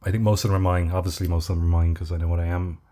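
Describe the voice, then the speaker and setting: Male podcaster, low register, chatty and long-winded, lots of false starts. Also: level tone, racing, dark tone. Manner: rambling storyteller with frequent restarts. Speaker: podcast co-host. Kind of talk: casual chat.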